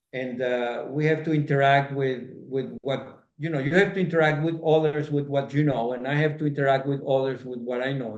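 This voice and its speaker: A middle-aged man from Venezuela, talking earnestly as an interview guest in a reflective spiritual conversation, gesturing broadly as his phrases loop and circle back. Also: on the high side. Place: home room, indoors. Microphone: video call webcam. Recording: roomy, dull video-call audio.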